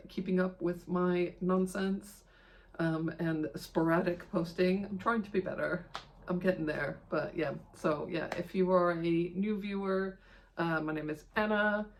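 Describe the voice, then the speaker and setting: Creaky-voiced woman chatting casually. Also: fairly low pitch, a little hiss or hum. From Iceland. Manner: rambling, self-deprecating, chatty. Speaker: video host of a cross-stitch channel. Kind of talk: casual vlog chat to camera.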